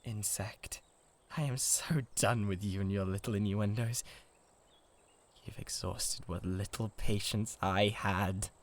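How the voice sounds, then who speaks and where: A man's voice: on the low side, fairly even intonation, takes it slowly. A British man playing a huge, lovesick, possessive giant in a comedic ASMR roleplay, theatrical and easily exasperated. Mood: irritated.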